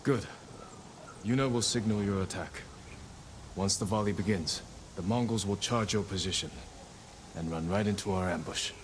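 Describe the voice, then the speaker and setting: An actor playing a resolute samurai war leader in a video game, speaking in a measured, grave, commanding way. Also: male voice, lowish voice, a little hiss or hum, lightly acted. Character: resolute samurai leader, solemn, loyal to his companions. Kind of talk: dramatic video game acting. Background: music.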